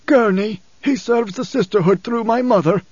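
Man voicing an anguished, high-pitched old blind prophet. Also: normal speed, darkish tone. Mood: resigned. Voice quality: husky, trembling. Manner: solemn, dramatic, formal speech. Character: anguished older blind father, weary desert prophet. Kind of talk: audiobook character acting. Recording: dull cassette audiobook, roomy echo.

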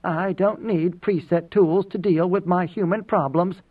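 Guy doing an old priestess envoy in an audiobook, composed n formal, pitched up, sounds like down a phone line. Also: steady tone, breathy edge. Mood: defiant. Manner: poised, formal, measured. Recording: muffled phone-like audio, distant.

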